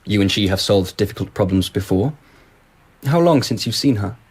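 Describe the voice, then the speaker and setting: An actor playing a curious, easily delighted emperor in a radio drama, genial but commanding. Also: male voice, fairly low pitch, animated, normal speed, breathy edge.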